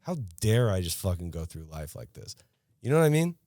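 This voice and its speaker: A man's voice: low voice, fairly quick, dry recording, clean studio sound. A man co-hosting a podcast, talking bluntly with plenty of swearing in a casual rant. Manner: blunt, profane, animated ranting. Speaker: podcast co-host. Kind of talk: casual chat.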